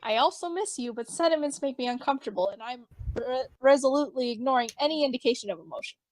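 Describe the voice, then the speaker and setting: A woman's voice: high voice, expressive, quick pace, a little mellow, dry recording, poor audio. A woman playing a chatty, teasing young friend in an amateur fan fiction reading, with a wry, rambling delivery. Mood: awkward.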